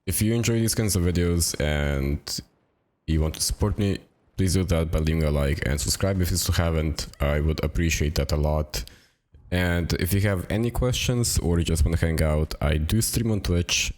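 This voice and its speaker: A young man explaining a game tactic in a relaxed, rambling way, sounding raspy and low on energy from a cold. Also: normal speed. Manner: relaxed and rambling, with many fillers. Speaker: gaming video creator. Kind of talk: casual tutorial narration. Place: home apartment.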